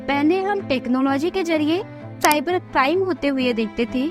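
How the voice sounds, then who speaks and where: A woman with an Indian accent and a high voice presents a scripted explainer. She speaks as the host of the video, and music plays behind her narration.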